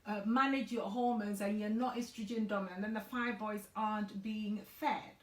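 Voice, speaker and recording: A woman's voice: mid-range voice, fairly even intonation, moderate pace. A woman in her forties from Ghana, earnestly explaining health issues as a guest in a conversational interview. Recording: echoey room.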